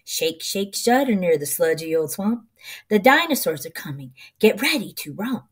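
A middle-aged woman reading a children's picture book aloud in an expressive, rhythmic, sing-song way. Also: on the low side, moderate pace, slightly rough.

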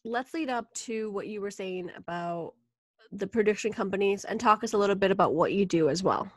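A woman hosting a podcast conversation in a casual, chatty way, often pausing with fillers and breaking into laughs. Her voice sits at an ordinary middle pitch. The sound is dry and close, with no room echo. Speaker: podcast host.

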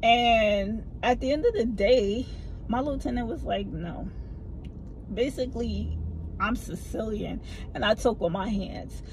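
A middle-aged woman telling a story to her phone camera from a parked car, lively and gesturing as she talks, with a low voice that some people find hard to hear. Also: measured pace, background noise. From family from the American South. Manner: animated, talks with her hands. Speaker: vlogger. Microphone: phone camera mounted in front of her.

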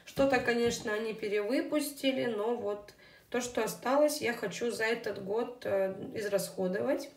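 A woman's voice: a little high, creaky. Chatty woman in an echoey room. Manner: chatty, unhurried, with fillers. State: voice somewhat unwell. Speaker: beauty vlogger. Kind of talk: casual product review. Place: indoors at a table, hands on camera.

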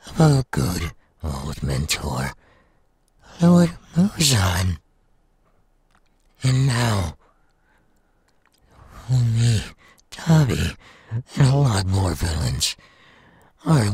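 Male demon villain, audio roleplay: menacing and taunting, gravelly, swinging widely in pitch, recorded close and dry. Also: no echo, full character voice. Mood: boastful. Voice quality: gravelly, raspy. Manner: slow, taunting, drawn-out delivery with wide swings. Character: menacing, sadistic male demon villain craving human flesh. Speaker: voice actor.

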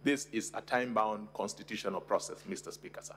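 Deep-voiced Kenyan counsel in echoing chamber. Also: male voice, background noise. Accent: Kenyan English. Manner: measured, deferential, formal. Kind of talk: formal legal submission. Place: large parliamentary chamber. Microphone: desk microphone. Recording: long hall reverb.

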